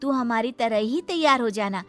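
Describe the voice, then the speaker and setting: A woman's voice: a little high, animated, normal speed, faint music behind, natural voice. A woman voicing a bold, carefree young girl in a cartoon story, in a childlike manner. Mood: reassuring. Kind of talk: Hindi cartoon story acting.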